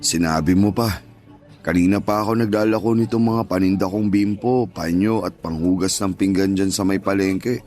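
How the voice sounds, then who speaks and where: A man's voice: deep voice, fairly even intonation, moderate pace, a little mellow, soft background music, natural voice. A man playing a humble, weary older street peddler in a radio drama, plain-spoken and grateful. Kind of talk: Tagalog radio drama acting.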